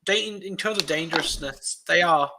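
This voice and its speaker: A man's voice: fairly high pitch, moderate pace, creaky, background noise. An Australian man who is a guest on a podcast, talking casually and rambling through long explanations.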